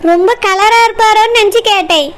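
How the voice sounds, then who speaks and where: A woman's voice: very high-pitched, quick pace. A woman voicing a nosy, eager village schoolteacher in a comic cartoon, with a Kanyakumari Tamil accent. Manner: inquisitive, wheedling.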